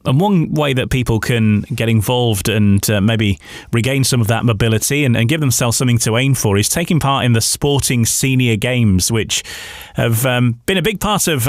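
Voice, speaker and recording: A man's voice: medium pitch, no echo, studio quality. A man hosting a casual radio interview, putting winding, hesitant questions in a light, chatty way. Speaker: radio show host, interviewer.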